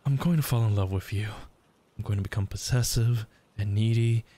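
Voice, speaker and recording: A man voicing a desperate, lovesick, self-loathing young yandere in an intimate ASMR roleplay, halting and stammering. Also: on the low side, warm tone, natural voice. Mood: tender, anxious. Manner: halting, stammering, pleading, breathy sighs.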